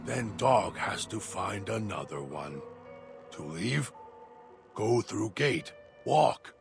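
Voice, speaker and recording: A man voicing a deranged, hungry male dog-creature who talks of himself in halting, broken third-person speech. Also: lowish voice, big pitch swings, heavily acted. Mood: eerie. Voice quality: gravelly. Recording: music bed underneath.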